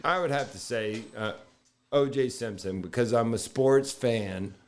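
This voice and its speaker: A man co-hosting a casual podcast chat, joking along in a slow, drawn-out way. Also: medium pitch. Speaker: podcast co-host.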